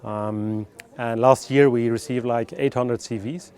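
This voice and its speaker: A man giving explanatory interview answers as a recruitment specialist, with measured, hesitant phrasing in non-native English. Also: medium pitch, fairly even intonation, darkish tone, clean tone.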